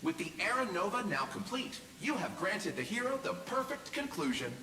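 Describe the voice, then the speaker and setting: A man playing a florid, grandiose flatterer in a video game, with theatrical delivery. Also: high voice, normal speed. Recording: echoey reverberant hall, faint noise.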